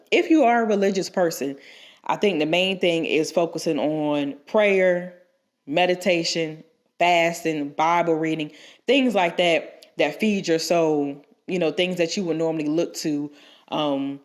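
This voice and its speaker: Low-voiced stuffed-up woman chatting. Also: unhurried. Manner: rambling, frequent restarts and fillers. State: stuffed up from a cold. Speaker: podcast co-host. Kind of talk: casual advice chat.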